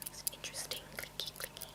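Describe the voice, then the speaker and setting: A woman hosting an ASMR video in a breathy whisper, chatting casually and playfully as she shows off her things. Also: fast talker. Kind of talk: whispered ASMR, casual show-and-tell of makeup tools.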